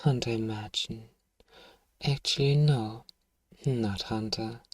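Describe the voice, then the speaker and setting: A man playing a powerful, manipulative vampire in an ASMR roleplay, theatrical and commanding, with a softly menacing, condescending manner. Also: fairly low pitch, fairly even intonation, takes it slowly, dry recording, distant mic. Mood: hypnotic soothing. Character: powerful suave manipulative male vampire, commanding yet coaxing. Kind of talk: ASMR roleplay, scripted hypnotic monologue.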